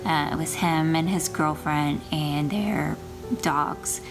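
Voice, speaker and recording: A woman recounting a personal experience in a measured, hesitant way. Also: sits low, steady tone. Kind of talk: candid personal storytelling. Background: music.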